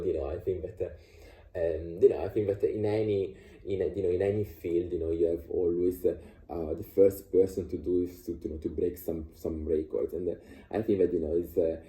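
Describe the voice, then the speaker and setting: Deep, dark-voiced man. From Italy. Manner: halting, many fillers and restarts. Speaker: vlogger sharing personal views. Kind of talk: casual unscripted monologue. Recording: echoey room.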